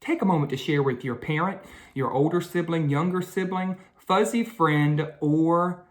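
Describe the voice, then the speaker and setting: A woman's voice: sits low, normal speed. A woman hosting a children's read-aloud, talking directly to young listeners and asking them questions.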